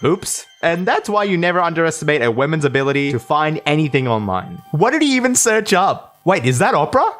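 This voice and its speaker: Expressive young man over background music. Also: on the high side, brisk, hardly any pauses. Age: young adult. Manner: expressive, self-deprecating. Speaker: YouTube storyteller. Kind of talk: scripted personal story narration.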